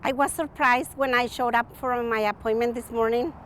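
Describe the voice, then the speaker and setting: Female patient interviewee, higher pitch, unscripted, faint vehicle noise in recording. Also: steady tone, normal speed, a bit bright. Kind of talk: unscripted news soundbite. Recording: noisy recording with vehicle sounds.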